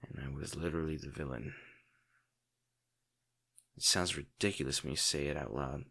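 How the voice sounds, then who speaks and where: A man playing a lovesick, protective villain in an intimate, brooding audio roleplay, speaking earnestly with dramatic pauses. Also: extremely low pitch, fairly even intonation, vocal fry, pushed and bright, slightly rough, voice pushed down, exaggerated voice. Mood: wry, tender. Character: lovesick male villain, brooding, protective, torn over wounded hero.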